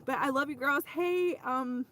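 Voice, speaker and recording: Hoarse woman talking faith, rambling. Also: high-pitched, measured pace, a bit bright. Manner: rambling, with frequent restarts and "you know". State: hoarse, losing her voice. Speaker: ministry host speaking to viewers. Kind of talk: casual faith talk on a livestream.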